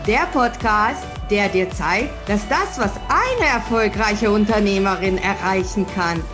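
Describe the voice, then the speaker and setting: A woman's voice: medium pitch, animated, measured pace, music in the background. A woman co-hosting a podcast who welcomes the guest and asks friendly, inviting questions. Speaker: podcast co-host. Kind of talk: podcast interview.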